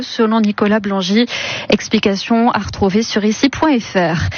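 A woman with a fairly high voice reads the morning news bulletin in a brisk, even rhythm. The sound is close and dry, with a muffled, slightly dull radio tone. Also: no echo. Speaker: radio newsreader.

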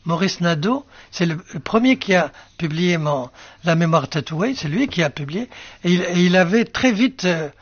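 An older male writer whose first language is Arabic, musing slowly in an interview with many fillers and restarts. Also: high-pitched, lively intonation, husky, no room sound, muffled recording. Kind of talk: reflective interview answers.

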